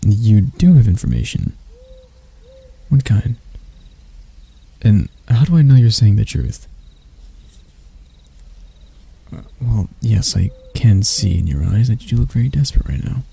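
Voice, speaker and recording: Man acting as a dark, velvety-voiced elf prince. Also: lowish voice, animated, normal speed, creaky, breathy edge, exaggerated voice. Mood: menacing, scornful. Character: proud elf prince, stern captor softening into bashfulness. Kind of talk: roleplay ASMR acting, addressed to the listener.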